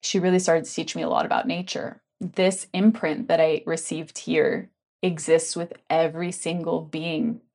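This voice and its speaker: Woman telling her story, low-pitched voice. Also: little pitch movement, normal speed, darkish tone. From Hong Kong. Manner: candid, reflective storytelling, many "like" fillers. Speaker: guest, tea ceremony guide. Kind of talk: casual podcast conversation.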